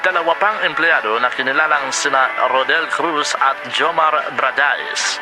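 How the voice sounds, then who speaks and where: A man's voice: on the high side, measured pace, loud music behind the voice, close to an everyday voice, low-quality recording. A man playing a serious Filipino television news anchor, reading a bulletin in a formal announcing style.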